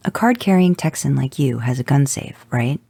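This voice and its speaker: A man's voice: mid-range voice, lively intonation, moderate pace, vocal fry, warm tone, slightly rough, no echo. A man doing a firm, take-charge hunter. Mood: urgent. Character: level-headed, take-charge male hunter.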